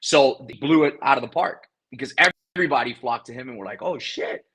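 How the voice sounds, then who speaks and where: A man whose first language is Spanish, speaking in a casual interview as a guest, energetic and talkative, often repeating words as he restarts. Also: mid-range voice, brisk, slightly rough. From South Florida. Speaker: guest, real estate investor.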